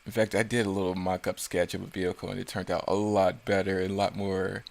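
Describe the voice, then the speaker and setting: A man with a fairly low voice reviews his own work in an unscripted way. He rambles casually and picks at his own flaws as he goes. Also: level tone, fast talker, creaky. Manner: self-critical, rambling. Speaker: creator commenting on own work.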